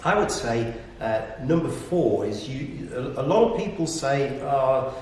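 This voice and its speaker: A man explaining business tips conversationally, in hesitant, measured phrases. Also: mid-range voice, animated, darkish tone. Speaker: property business operator giving tips. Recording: echoey room, distant mic, faint noise.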